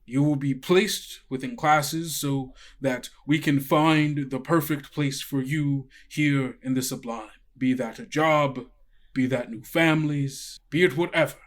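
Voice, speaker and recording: A man's voice: mid-range voice, fairly even intonation, unhurried, close to an everyday voice. A man voicing a stern owl school principal in a tabletop game, formal, condescending and coldly authoritative. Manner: formal, haughty, belittling.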